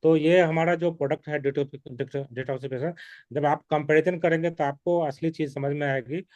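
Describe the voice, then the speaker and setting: A man's voice: fairly high pitch, steady tone, brisk, a little mellow, no room sound. A man who sells health products, answering a customer's objections hesitantly and with many fillers. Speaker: network marketing distributor.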